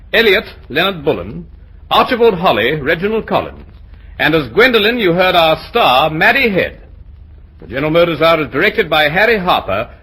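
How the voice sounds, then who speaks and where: Husky, animated male radio announcer. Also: a little high, rough recording. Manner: formal, measured. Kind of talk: old-time radio announcing, scripted.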